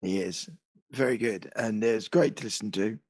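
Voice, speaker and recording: A man co-hosting a podcast, hoarse and husky from a cold, joking and self-deprecating in casual chat. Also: on the low side, normal speed, darkish tone. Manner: jokey, self-deprecating banter. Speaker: podcast co-host.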